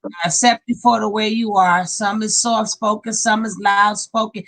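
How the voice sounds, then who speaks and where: A woman hosting a casual live panel stream over a video call, animated and laughing often. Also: mid-range voice, normal speed. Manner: animated, laughing, talks up a storm.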